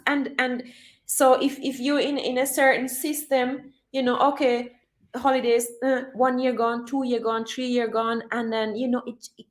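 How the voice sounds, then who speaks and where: A woman chatting casually on a livestream, reading her chat and urging viewers to chase their dreams, animated and repeating herself often. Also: fairly high pitch, reverberant. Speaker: vlogger reading live chat.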